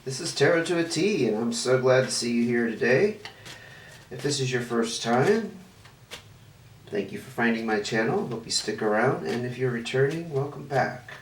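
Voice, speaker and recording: A man's voice: medium pitch, lively intonation, normal speed. Stuffy-nosed man reading tarot, roomy sound. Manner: chatty, thinks aloud while laying out cards. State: stuffy, sniffling. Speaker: tarot reader, channel host. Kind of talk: casual tarot reading to camera. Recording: roomy, distant mic, faint noise.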